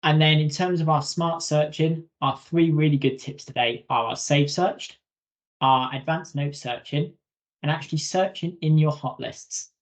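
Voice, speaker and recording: A man giving an informal instructional webinar presentation as a software trainer. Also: on the high side, measured pace. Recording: slightly dull lo-fi webinar audio.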